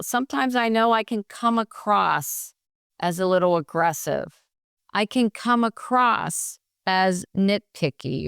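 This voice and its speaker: A middle-aged woman nurse consultant answering in a podcast interview, energetic and practical, often acting out what people say. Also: medium pitch, clear, resonant tone, dry recording, studio quality. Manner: energetic, practical, acts out quoted dialogue.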